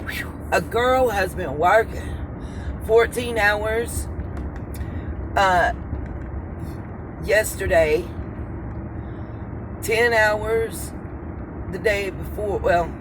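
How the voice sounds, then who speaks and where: A woman's voice: mid-range voice, drawn-out words, pauses often. A middle-aged American woman with a congested, nasal voice, rambling casually to her viewers as a vlogger. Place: inside a moving car. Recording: noisy car interior with road noise. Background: car and traffic noise.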